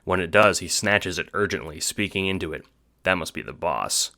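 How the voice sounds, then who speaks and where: A man giving a scripted, dramatic narration for an audio drama, with deliberate phrasing. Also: low voice, gravelly, bright, forceful tone.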